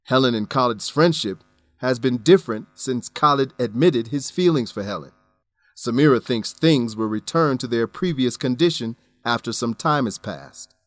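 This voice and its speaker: A man reading scripted English-lesson narration as a teacher, deliberate, instructional and carefully enunciated. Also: medium pitch, dry recording.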